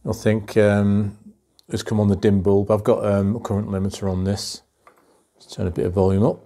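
A middle-aged man in a home workshop talks calmly and methodically through a radio repair, with many ums and uhs. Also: fairly low pitch, moderate pace. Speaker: electronics restorer.

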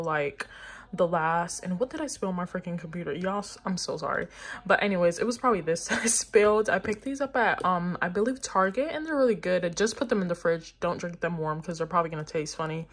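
A woman's voice: fairly low pitch, a bit bright. A young woman, a college student, chatting casually to her camera with lots of "like" and "um" fillers. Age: young adult. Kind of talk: casual vlog chat to camera.

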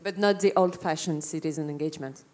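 A woman's voice: low-pitched, quick pace, a little mellow. Woman moderating a live panel. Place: festival stage indoors. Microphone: handheld microphone.

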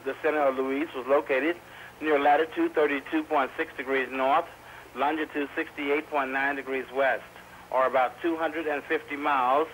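A man's voice: mid-range voice, fairly bright tone. Male weather radio reader, medium register, scripted forecast delivery, slightly noisy 1990s VHS-taped broadcast. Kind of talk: scripted weather bulletin reading. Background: faint radio noise.

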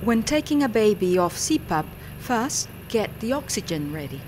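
Lively female instructional narrator, noisy background. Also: mid-range voice, normal speed. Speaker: medical training film narrator. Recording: clearly noisy background ambience.

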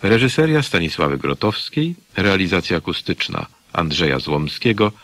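A man reading a scripted radio announcement in a formal, measured manner. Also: low-pitched, expressive, fairly bright tone, no echo.

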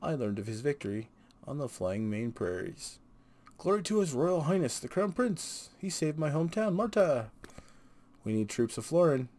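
A man reading as an earnest, loyal soldier in a fantasy game, measured and declaiming. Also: a little high, big pitch swings, a little mellow. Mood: proud. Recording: noisy home recording.

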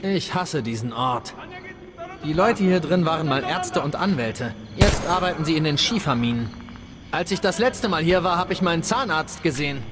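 An actor playing an earnest, bitter young-sounding resistance fighter in a video game. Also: male voice, a little high, lively intonation, moderate pace, crowd noise, lightly acted. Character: male Korean-American resistance fighter, earnest, bitter, defensive.

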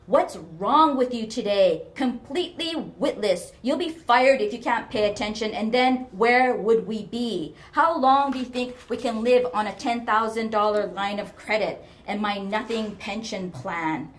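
Elderly mother, played by a woman, storybook: high, piercing, near-shouting, guilt-tripping and bitterly self-pitying. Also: a little slow, hardly any pauses, natural voice. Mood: angry scolding. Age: older. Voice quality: sharp, loud. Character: domineering, self-pitying, shrill elderly mother berating her daughter.

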